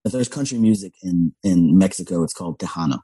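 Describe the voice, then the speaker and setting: A man's voice: sits low, expressive, mellow, husky, no room sound. A young man from Texas, a comedian telling rambling stories in a casual podcast chat. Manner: rambling storyteller, quick and loose. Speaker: comedian guest.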